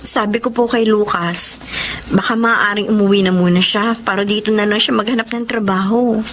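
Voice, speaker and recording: A woman's voice: mid-range voice, steady tone, normal speed, a bit bright, slight background noise, close to an everyday voice. An actress playing a poor young wife and mother who speaks earnestly and anxiously. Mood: worried.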